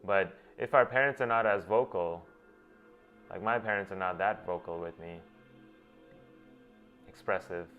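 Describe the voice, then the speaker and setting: A man's voice: low-pitched, a little slow, long pauses. A man whose first language is Gujarati, hosting and thinking aloud in a reflective monologue, often restarting his phrases as he works through ideas. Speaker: host. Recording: dull lo-fi with faint music.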